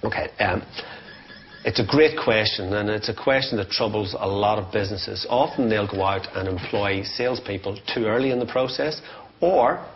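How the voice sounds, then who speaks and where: Man, deeper register, business coaching, instructive and emphatic, informal, dull recording. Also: moderate pace, a little hiss or hum. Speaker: sales trainer giving advice.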